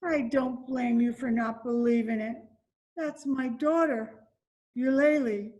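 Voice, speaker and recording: A woman's voice: a little high, fairly even intonation, slow pace, dark tone, dull audio. A woman playing a faded, despairing former Broadway dancer and mother from Spain in an amateur radio drama, speaking with bitter drama. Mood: confiding, subdued. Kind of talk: amateur old-time radio drama acting. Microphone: video call recording.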